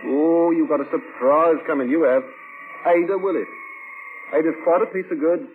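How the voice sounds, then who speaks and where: An actor playing a blunt working-class garage owner with a London accent in an old radio drama, brash, chatty and sardonic. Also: male voice, a little high, moderate pace, narrow, tinny audio, natural voice. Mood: cheeky, gossipy. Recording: thin, noisy old radio recording.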